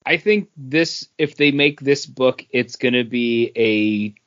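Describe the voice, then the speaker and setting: A man co-hosting a podcast, talking casually about comics and feeling his way haltingly through his thoughts. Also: mid-range voice, dull audio. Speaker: podcast co-host. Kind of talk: casual comic book review chat.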